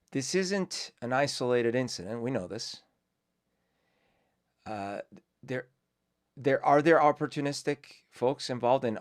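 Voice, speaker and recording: A man who grew up in Colombia hosts his own show, giving reflective, rambling commentary full of fillers and restarts. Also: medium pitch. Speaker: host. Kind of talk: casual commentary.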